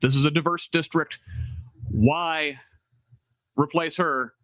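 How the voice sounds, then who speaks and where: A man hosting a virtual town hall, relaying viewer questions in an animated way with many fillers. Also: a little high, slow. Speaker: host. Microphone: video call.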